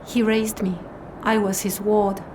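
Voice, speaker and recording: An earnest young woman played by an actress with a medium-pitched voice and a blunt, serious delivery. She sounds like a guarded audio drama adventurer, with clear ambient noise around her. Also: little pitch movement, a little slow, close to an everyday voice. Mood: self-critical, guarded.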